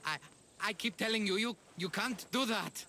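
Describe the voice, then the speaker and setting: An actor playing an exasperated, doubting farm worker in a video game, protesting in a flustered way. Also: male voice, sits high, normal speed, bright, forceful tone, a little hiss or hum. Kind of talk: dramatic video game acting.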